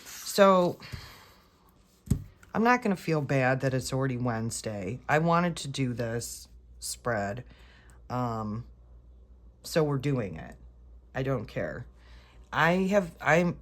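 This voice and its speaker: A congested woman with a very low voice chats unhurriedly as she works through a craft project. She keeps talking to her viewers, changing her mind and correcting herself. Her nose is stuffed up, so her voice sounds a bit off. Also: lively intonation, takes it slowly, pauses often. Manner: chatty, self-correcting, talks to viewers while working. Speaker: planner vlogger. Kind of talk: casual rambling craft chat.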